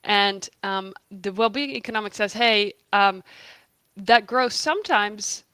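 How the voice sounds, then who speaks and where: A woman's voice: medium pitch, unhurried, sharp tone, clean tone, no room sound. A woman from the Netherlands explaining her work as an economist in a conversational interview, thoughtful and emphatic. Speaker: guest, economist and researcher.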